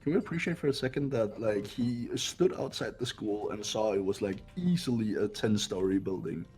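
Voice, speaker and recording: A man's voice: fairly low pitch, lively intonation, normal speed, darkish tone, soft background music, rough recording. A young man chatting casually as a player in a group game playthrough, offering banter and commentary.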